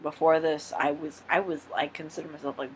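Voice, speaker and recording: A man's voice: a little high, level tone, a little fast, slight background noise, poor audio. A young man casually answering viewer questions on his vlog, frank and rambling, swearing freely. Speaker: vlogger.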